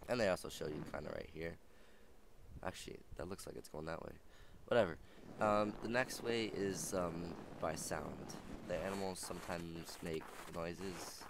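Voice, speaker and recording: A young man with a sick, slightly raspy voice, chatting casually with many fillers while playing a hunting game. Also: sits low, normal speed. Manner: rambling, filler-heavy, chatty. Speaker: gaming channel host. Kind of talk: casual let's play commentary. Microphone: old, static-prone headset mic. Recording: noisy home mic with static.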